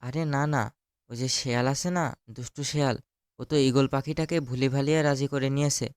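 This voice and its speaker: A man plays a watchful forest animal in a kids' cartoon. The voice is clear and dry, of medium pitch, with an earnest, helpful manner. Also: steady tone, a little fast, dry recording, close to an everyday voice. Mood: earnest, warning. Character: helpful, watchful animal friend warning of danger. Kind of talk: children's cartoon voice acting.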